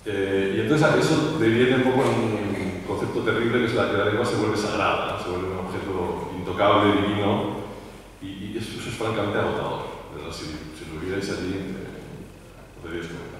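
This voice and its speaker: Low-voiced man in echoey hall. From Barcelona, Spain. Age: middle-aged. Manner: halting, repeats words, self-deprecating. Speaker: advertising creative, panel speaker. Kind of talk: informal public talk at a panel. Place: large formal hall, seated at panel table. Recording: echoey hall, distant mic, some noise.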